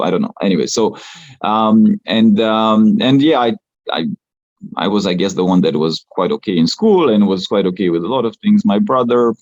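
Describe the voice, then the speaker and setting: A man's voice: on the low side, no echo, dull audio. A man from Romania whose first language is Romanian, talking as a podcast guest with many fillers and restarts. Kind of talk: casual interview talk.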